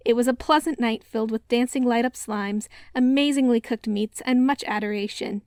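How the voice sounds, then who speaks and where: A woman's voice: fairly high pitch, darkish tone, no room sound, clean studio sound. A woman reading a scripted recap narration in a wry, mock-dramatic manner. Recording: music under the voice.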